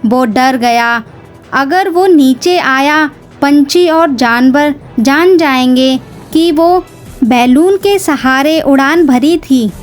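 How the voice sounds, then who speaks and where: High-pitched, slow woman storyteller. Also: soft background music. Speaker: narrator. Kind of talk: scripted children's story narration.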